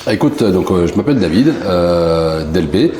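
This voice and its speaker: A man's voice: deep voice, expressive, moderate pace, dark tone. A man from France answering interview questions in a chatty, joking, self-mocking way, with many fillers. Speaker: founder of a poker company, interview guest. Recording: roomy room with faint noise.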